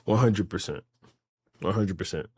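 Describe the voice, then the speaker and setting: A man's voice: low voice, moderate pace, darkish tone, slightly rough, no room sound. A man in his late twenties chatting casually and rambling through hypotheticals, repeating phrases emphatically with profane slang. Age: late 20s.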